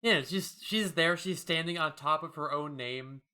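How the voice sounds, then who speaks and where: A male co-host describes a comic in casual chat. His voice is bright and forward, slightly high for a man, and he talks in a loose, halting, agreeable way. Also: smooth.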